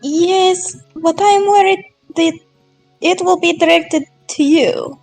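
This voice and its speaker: A woman's voice: extremely high pitch, slow, darkish tone, no room sound, faint music behind, exaggerated voice. A woman voicing an earnest, blunt young woman who speaks in simple broken English in a tabletop game. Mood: concerned.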